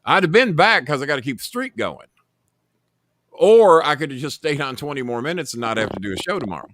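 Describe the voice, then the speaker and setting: A man's voice: on the high side, sing-song, brisk, no room sound. Man rambling as livestream host. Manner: rambling, repeats words, trails off quietly. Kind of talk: casual watch-along chat.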